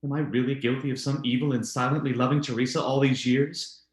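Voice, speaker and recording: Man acting an earnest tormented husband, formal theatrical delivery, medium pitch, radio drama, roomy echoing recording. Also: steady tone, brisk, natural voice. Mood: guilt-ridden.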